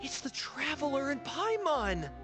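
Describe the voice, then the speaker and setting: Earnest young anime game trainee, high voice, hugely swooping intonation, eager, faint music behind. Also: male voice, very animated, measured pace, exaggerated voice. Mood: excited. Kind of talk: anime video game voice acting.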